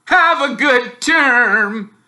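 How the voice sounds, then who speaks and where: A man voicing a gruff, bad-tempered middle-aged uncle in a storybook, with a growling, scornful, forceful delivery. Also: very high voice, expressive, drawn-out words, voice pushed up, full character voice. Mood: gloating, nasty. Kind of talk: storybook character voice.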